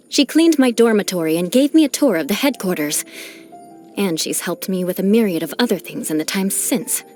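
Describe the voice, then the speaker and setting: An actress voicing a proud, vengeful young noblewoman knight from a disgraced clan in a video game, with a haughty, measured delivery. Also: mid-range voice, warm tone. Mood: composed, matter-of-fact. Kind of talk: scripted video game character voice lines.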